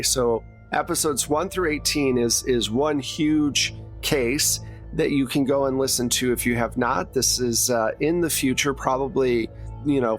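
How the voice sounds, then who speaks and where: Male game master, unhurried delivery, conversational and explanatory narration of a tabletop game, light music underneath. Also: mid-range voice.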